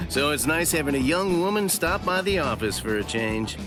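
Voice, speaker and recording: Sly, animated shopkeeper. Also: male voice, a little high, normal speed, over music. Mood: playful, teasing. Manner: theatrical, playful, glib. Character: sly, breezy shopkeeper hiding great power, cheekily self-flattering. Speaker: video game voice actor. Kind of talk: anime game dub acting.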